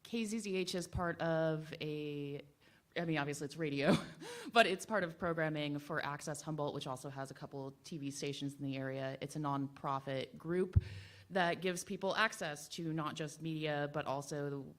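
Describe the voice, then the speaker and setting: A woman's voice: low-pitched, normal speed. A woman panelist speaking off the cuff as she introduces her community media work at a live event. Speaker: radio panelist, nonprofit board member.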